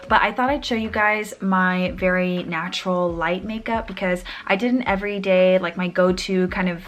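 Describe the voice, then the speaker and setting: A woman's voice: fairly low pitch, fairly even intonation, moderate pace. Chatty young woman, a bit sniffly. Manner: chatty, rambling, trails off mid-sentence. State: a little sniffly. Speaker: beauty vlogger. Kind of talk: casual makeup tutorial chat.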